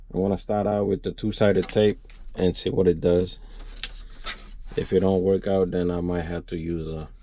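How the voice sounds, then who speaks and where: A man giving a casual, unscripted how-to for a vlog, rambling and thinking out loud as he works. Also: sits low, highs cut off. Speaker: vlogger showing a DIY truck installation.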